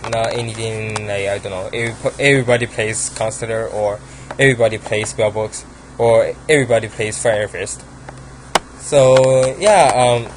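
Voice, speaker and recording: A man's voice: medium pitch, noisy background. A young man with a Chinese accent, talking casually and hesitantly about his card game deck, with many ums and restarts. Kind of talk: casual deck profile monologue.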